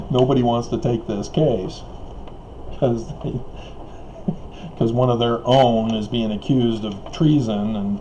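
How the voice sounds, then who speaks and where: A man's voice: medium pitch, mellow, dull audio, slight background noise. A man talking in a rambling solo monologue, chuckling and hesitating often while his voice wears out and he coughs. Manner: chuckles often between remarks; hesitant, many fillers and restarts. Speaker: online video commentator.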